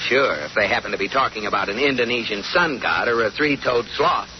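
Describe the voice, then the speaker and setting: An actor playing an exasperated, jealous but fond husband in old-time radio sitcom style, with wry comic delivery. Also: male voice, medium pitch, brisk, lightly acted. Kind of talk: 1940s-50s radio sitcom acting. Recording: noisy, muffled old radio broadcast recording.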